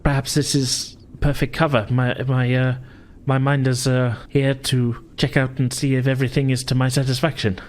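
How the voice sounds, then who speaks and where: A man's voice: medium pitch, flat delivery, normal speed, few pauses, voice pushed up, close to an everyday voice. A man voicing a polite, shaken female opera star with a hesitant, refined manner. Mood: composed, genteel.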